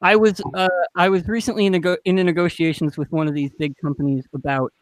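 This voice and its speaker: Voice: mid-range voice, fairly even intonation, fast talker, dry recording, low-quality recording. A man speaking as a panelist in a casual discussion, frank and informal with a dry turn of phrase. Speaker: podcast panelist.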